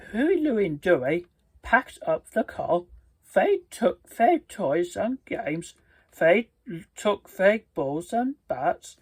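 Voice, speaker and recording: A storybook reader and video host with a halting, careful delivery and stumbles, reading aloud in a husky voice. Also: mid-range voice, no echo. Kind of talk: read-aloud storybook narration.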